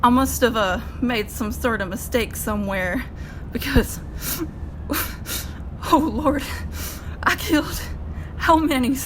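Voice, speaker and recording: A woman's voice: on the high side, takes it slowly, long pauses, noisy background. A woman playing a naive, otherworldly moonshiner in an audio drama, with a pleading manner. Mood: distraught, tearful. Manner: folksy rustic speech, pleading.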